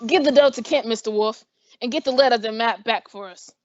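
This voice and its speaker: A nervous, shifty male crook, voiced by a young woman. The voice is high and hurried. The recording is dry and muffled. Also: dry recording, close to an everyday voice. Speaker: high school drama student. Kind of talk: amateur radio play acting.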